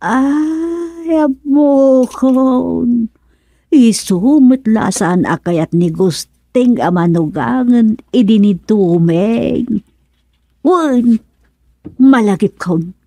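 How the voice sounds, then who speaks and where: Bossy older ilocano wife, grumbly, slow n mellow, radio comedy. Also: female voice, a little high, breathy edge, no echo, clean studio sound, heavily acted. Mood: dismayed.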